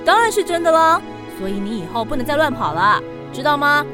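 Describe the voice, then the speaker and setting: Gentle older sister, high young voice, anxious, protective, earnest, children's radio drama, music behind. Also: female voice, a little fast. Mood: gentle concern. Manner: earnest, caring, expressive delivery.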